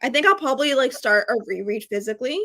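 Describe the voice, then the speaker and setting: A woman with a high, bright voice talks casually as the host of a book chat. She is gushing and emphatic, often repeating a phrase to drive it home. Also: lively intonation, quick pace. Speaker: host of a book readalong live stream.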